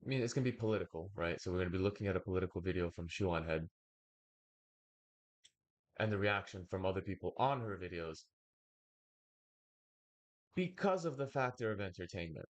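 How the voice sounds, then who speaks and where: A young man with a fairly low voice talks through a reaction video at an unhurried pace. He grew up with Bulgarian as his first language, and his remarks come out in long, hesitant, wordy stretches broken by pauses. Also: lively intonation, lo-fi sound. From United States. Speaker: reaction streamer. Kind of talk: casual commentary.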